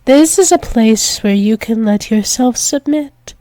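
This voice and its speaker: A woman's voice: fairly high pitch, a little slow, warm tone, no room sound, close to an everyday voice. A woman playing a dominant, doting female alpha werewolf demon in an intimate ASMR roleplay, coaxing and soothing, often dropping into whispers. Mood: soothing, tender.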